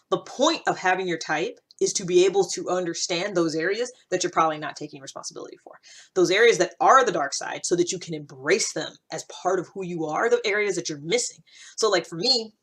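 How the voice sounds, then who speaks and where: A woman's voice: on the low side, fairly bright tone. A woman in her thirties hosting a vlog, talking in a casual, reflective monologue with a rambling, conversational, self-interrupting manner. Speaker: vlog host.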